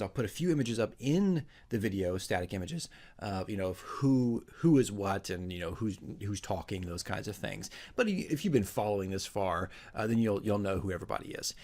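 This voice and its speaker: Halting, low-voiced man chatting casually. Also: animated, a little mellow. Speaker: dungeon master introducing his radio drama. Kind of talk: casual unscripted chat.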